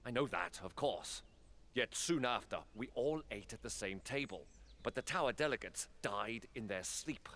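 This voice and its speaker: A man's voice: medium pitch, animated, moderate pace, a bit bright. A man playing an earnest, harried council statesman in a fantasy game, speaking with weary urgency. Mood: exasperated, grave. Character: earnest, harried male council statesman under political pressure.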